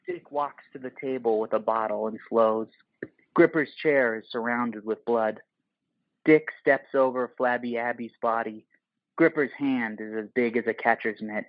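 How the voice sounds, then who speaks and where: A man's voice: mid-range voice, animated, unhurried, no room sound, muffled recording. A man narrating an audio play, reading stage directions in terse screenplay phrases.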